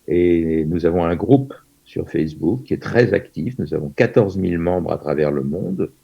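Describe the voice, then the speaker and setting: A man's voice: sits low, animated, normal speed, vocal fry, slightly rough, muffled recording. An older man in his seventies from France, a Yiddish teacher being interviewed over a video call, hesitant with many fillers and restarts.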